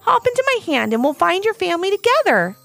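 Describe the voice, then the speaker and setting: A woman voicing a kind young male hero in a storybook reading, gentle and reassuring. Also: very high-pitched, sing-song, fast talker, gravelly, faint music behind, voice pushed up, full character voice.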